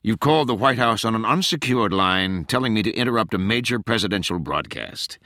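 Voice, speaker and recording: Man voicing an icy, raspy female political advisor. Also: fairly low pitch, lively intonation, a little fast, sharp tone, no room sound, clean studio sound, pitched above the performer's own voice. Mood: suspicious, officious. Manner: stern, cutting, officious. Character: icy, domineering female senior presidential advisor. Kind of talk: dramatized audiobook character voice.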